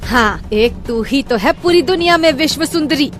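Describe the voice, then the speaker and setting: A woman voicing a sharp-tongued, sarcastic middle-aged mother-in-law in a cartoon story. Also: sits high, lively intonation, normal speed, faint music behind, voice pushed up. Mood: scolding. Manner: scolding, dramatic, sarcastic. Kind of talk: cartoon voice acting.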